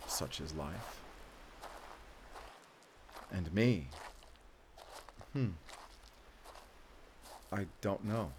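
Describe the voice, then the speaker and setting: Man playing a low-voiced, weary wolf hunter. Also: lively intonation, extremely slow, long pauses, darkish tone, a little hiss or hum, heavily acted. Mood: weary, bittersweet. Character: weary, compassionate male lifelong wolf hunter. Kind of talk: cinematic audio roleplay acting.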